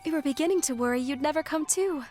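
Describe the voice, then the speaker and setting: An actress playing an excitable, gushing young female doctor, warm and eager, in a video game cutscene. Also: high voice, quick pace, soft background music, natural voice. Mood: gushing, friendly. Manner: gushing, effusive, apologetic. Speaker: physician.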